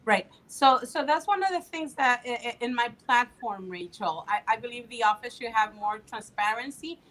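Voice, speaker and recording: A woman's voice: a little high, lively intonation, moderate pace, a bit bright, rough recording. A woman in her fifties from the Dominican Republic, a political candidate answering interview questions in an eager way with frequent fillers. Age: 50s.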